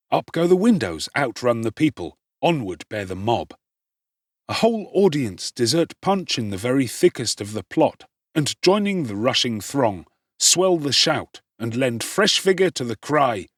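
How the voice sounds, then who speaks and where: Animated male audiobook narrator, husky and close. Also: medium pitch, dry recording. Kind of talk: dramatic literary narration.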